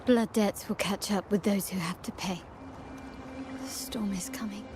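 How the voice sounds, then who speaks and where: A woman's voice: medium pitch, fairly even intonation, a little mellow, slight background noise, lightly acted. A woman playing a taunting, seductive phantom in a video game, with a theatrical, mocking delivery. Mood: menacing glee. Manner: theatrical, mocking, slow and deliberate. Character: taunting, seductive woman, a sinister phantom born of someone's mind. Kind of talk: scripted game villain dialogue. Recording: music behind the voice.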